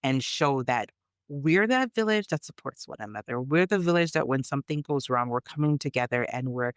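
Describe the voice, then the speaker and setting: A woman's voice: rumbling low, lively intonation, fairly quick, dry recording. Conversational woman, close dry sound. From Canada. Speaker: storyteller and podcast guest. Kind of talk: conversational podcast chat.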